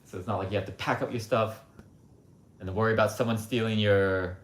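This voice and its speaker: Low-voiced blunt man rambling. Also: fairly even intonation, brisk. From United States. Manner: rambling, blunt, frequent asides with "like" and "um". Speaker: livestream host. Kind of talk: casual opinionated monologue. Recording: roomy echo.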